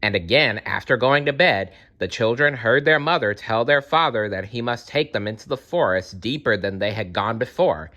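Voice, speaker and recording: A man narrating a children's fairytale aloud, expressive and speaking directly to young listeners. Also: medium pitch, normal speed, few pauses, muffled recording. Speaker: storyteller.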